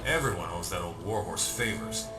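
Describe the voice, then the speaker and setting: A man's voice: sits low, animated, normal speed. A man voicing a suave, worldly superhero in a video game, wry and unruffled. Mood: wry, resigned. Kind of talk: video game cutscene voice acting. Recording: echoey, distant sound with music.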